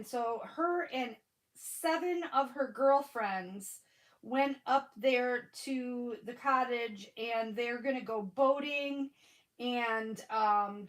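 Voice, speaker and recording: A woman's voice: on the high side, poor audio. Stuffy-nosed chatty Michigan woman. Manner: chatty, rambling, greets viewers by name; frequent fillers and restarts. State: stuffy nose. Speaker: livestream crafting host. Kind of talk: casual live-stream chat with viewers.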